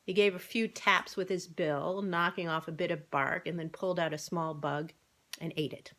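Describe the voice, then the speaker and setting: A woman with a slightly low voice reads a children's book aloud. Her delivery is informal, that of an amateur narrator sharing a story. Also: fairly even intonation, normal speed, fairly bright tone.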